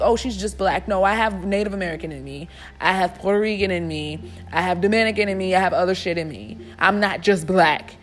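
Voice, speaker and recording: A woman in her twenties hosting a solo podcast, ranting bluntly and emphatically with a lot of swearing. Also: medium pitch. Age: 20s. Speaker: podcast host. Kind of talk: casual solo podcast rant.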